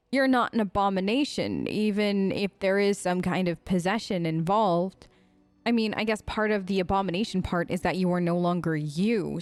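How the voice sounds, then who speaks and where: A woman hosting a role-play let's play, improvising her character's side of the dialogue in a chatty, conversational way. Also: mid-range voice. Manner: chatty, conversational, reacts with questions.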